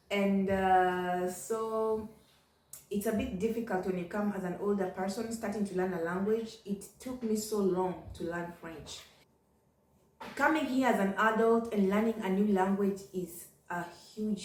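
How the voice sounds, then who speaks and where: A woman's voice: medium pitch, darkish tone, roomy sound, distant mic. A middle-aged woman, talking candidly in a casual vlog and often repeating "you know". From Kenya. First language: Ugandan. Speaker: vlogger. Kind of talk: casual reflective monologue.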